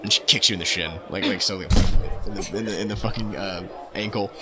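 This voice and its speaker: A man's voice: fairly low pitch, steady tone, fairly quick. A man narrating a tabletop game as game master in a casual, slangy, improvised way.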